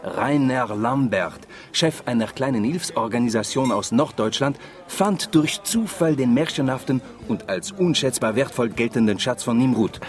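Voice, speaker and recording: An actor plays a sardonic male colleague in a radio drama. His voice sits at a middle pitch, and he drops French phrases into his German. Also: lively intonation, moderate pace, soft background music, close to an everyday voice. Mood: sardonic reading. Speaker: supporting actor.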